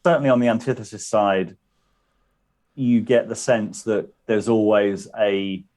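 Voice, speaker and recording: A man's voice: on the low side, fairly even intonation, a little slow, a little mellow. A man interviewing a guest in a played clip, asking tentative questions with restarts.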